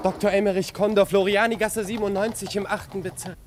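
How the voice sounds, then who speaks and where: A man's voice: high-pitched, flat delivery, fast, close to an everyday voice. A man playing a panicked young cavalry lieutenant in a radio drama, urgent and frantic to flee. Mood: agitated. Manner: urgent, repeating words. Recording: noisy ambience behind voice.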